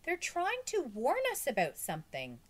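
A woman's voice: sits high, huge pitch swings, moderate pace, husky, voice pushed up, full character voice. A woman voicing a puzzled, attentive schoolboy who speaks in an earnest, careful way in a storybook.

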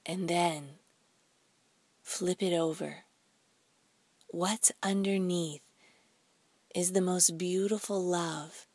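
A woman leading a guided meditation in a soft, breathy, soothing voice, speaking deliberately in short phrases with pauses. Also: on the low side, fairly even intonation, dry recording. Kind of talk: slow guided meditation narration.

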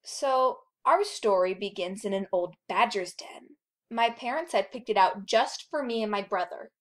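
A woman voicing a grown female wolf narrating her own tale in a measured way for a cartoon audition. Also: medium pitch, close to an everyday voice. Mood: storytelling. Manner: measured storytelling.

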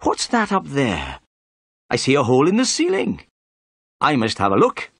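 A man's voice: medium pitch, huge pitch swings, moderate pace, a bit bright, slightly rough, no echo, studio quality, pitched above the performer's own voice, full character voice. A man voicing a pompous, self-important police inspector in an audiobook detective story, with an insistent, brusque manner. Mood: pompous, certain.

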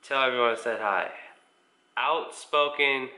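Young American man, very bright voice. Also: medium pitch, measured pace. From United States, mostly Indiana. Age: around 20. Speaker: vlogger answering viewer questions. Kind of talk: casual question-and-answer chat to camera. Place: small living room at home, indoors.

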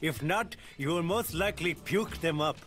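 An actor playing an eccentric, meddling lemur in a video game. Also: male voice, on the high side, normal speed, a bit bright, close to an everyday voice. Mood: blunt advising. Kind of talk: video game character acting.

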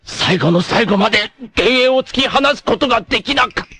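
A man voicing a vain, treacherous martial-arts villain in an anime, with a theatrical, haughty, drawn-out delivery. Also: sits high, background noise. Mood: anguished, wistful. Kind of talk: dramatic anime voice acting.

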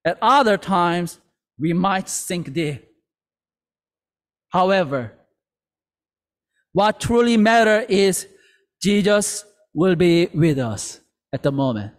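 Slow earnest pastor in a reverberant hall. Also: male voice, sits high, expressive. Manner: slow, earnest, with halting restarts. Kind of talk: sermon. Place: church sanctuary.

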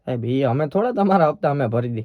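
A man's voice: mid-range voice, expressive, fast talker, highs cut off, soft background music. A man with a Saurashtra Gujarati accent making a prank call as a polite, persistent bank employee. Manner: polite, persistent, official-sounding. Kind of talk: prank phone call in casual dialect.

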